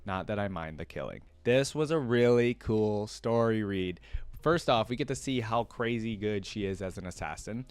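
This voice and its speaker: A man's voice: lowish voice, animated, normal speed, smooth. A man hosting a reaction video, reading a story aloud and adding casual, conversational fan commentary.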